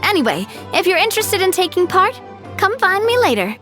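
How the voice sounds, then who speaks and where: High, animated, polished young lady. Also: a little fast, soft background music, heavily acted. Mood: apologetic, friendly. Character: gracious young lady event organiser, polished and helpful. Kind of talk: video game voice acting.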